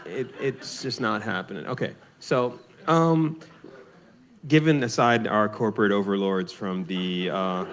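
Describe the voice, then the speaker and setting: A man speaking on a live library panel, relaxed and joking. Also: on the low side, a little slow, a little hiss or hum. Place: library event room.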